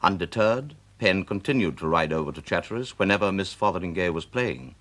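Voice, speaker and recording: Very deep-voiced English male narrator. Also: expressive, a bit bright. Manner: measured storytelling. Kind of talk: scripted literary narration for radio drama.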